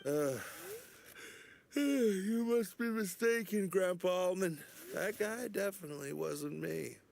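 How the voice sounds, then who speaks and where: Whiny, sleepy young slacker critter. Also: male voice, high voice, slow pace, gravelly, a little mellow, full character voice. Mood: evasive. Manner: sleepy, whining, excuse-making. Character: lazy, evasive young male origami animal. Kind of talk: video game character acting.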